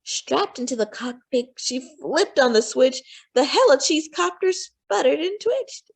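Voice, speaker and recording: A woman narrates a children's picture book with great variety in her pitch. She goes slowly and deliberately, dramatizing each phrase as a storyteller does for young listeners. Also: lively intonation, vocal fry. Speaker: storyteller reading aloud to children. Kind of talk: expressive children's read-aloud narration.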